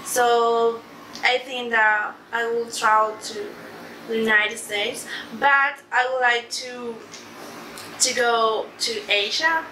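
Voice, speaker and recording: A young Colombian woman speaks slow, halting English with a Spanish accent, often pausing to find words and laughing softly. Her voice sits fairly high. She is picked up from across an echoey living room with some background noise. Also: steady tone, recorded from a distance. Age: young adult. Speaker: interviewee. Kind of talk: casual interview in a second language. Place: living room, sitting on a couch. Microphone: camera across the room.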